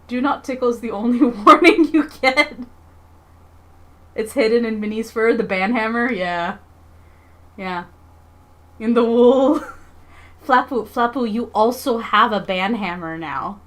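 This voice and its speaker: A woman livestreamer chatting casually with her viewers in a playful, expressive, giggly manner. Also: fairly high pitch, takes it slowly, pauses often. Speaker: live streamer and comics artist.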